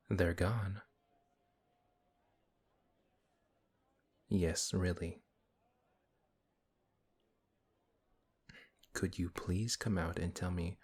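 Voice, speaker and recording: A man playing a big, good-hearted, exasperated paladin in a fantasy audio roleplay, flustered and questioning, with a husky voice. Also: low voice, a little mellow, exaggerated voice. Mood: exasperated, patient.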